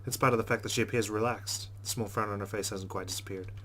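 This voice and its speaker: A man with a lowish voice reads a visual novel aloud at a brisk clip. He is expressive and slips into casual commentary as he plays. A faint noise sits under the voice. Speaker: let's play host.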